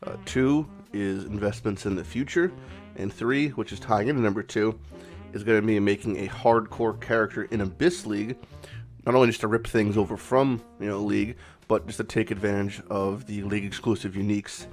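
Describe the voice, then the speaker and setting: A man with a slightly low voice, made raspy and hoarse by a cold, talks through his game in a loose, rambling way, dropping the odd swear word and correcting himself mid-sentence. A little background music plays quietly under him. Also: fairly even intonation, a little fast. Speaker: gaming channel host. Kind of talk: casual game commentary vlog.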